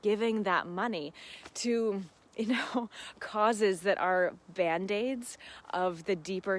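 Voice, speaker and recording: A woman speaks as the host of a casual live Q&A stream. Her voice sits at an average pitch for a woman. She comes across as earnest and heartfelt, with an effusive, easily amused way of talking. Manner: effusive, often laughing while talking. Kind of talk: casual livestream talk.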